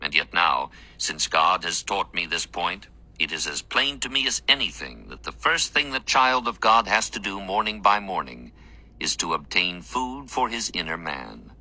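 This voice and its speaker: A man narrating a scripted devotional text in an earnest, measured and formal manner. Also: low voice, bright, forceful tone.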